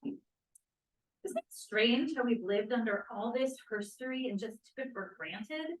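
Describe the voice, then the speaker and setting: Woman acting wistful young feminist, fairly high voice. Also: animated, a little slow. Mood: wistful musing. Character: wistful, doubting young feminist who quotes her grandmother. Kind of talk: table read of a play script. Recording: echoey room, distant microphone.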